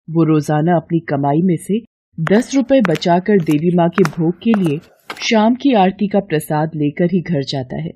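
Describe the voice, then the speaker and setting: A woman's voice: on the low side, mellow, husky, dull audio. A woman narrating a scripted moral story as its storyteller.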